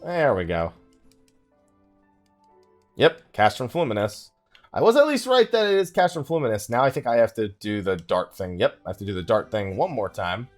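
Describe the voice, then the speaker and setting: A man giving casual let's play commentary, rambling and thinking out loud with mild exasperation. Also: medium pitch, big pitch swings, moderate pace. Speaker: gaming video host. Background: game music.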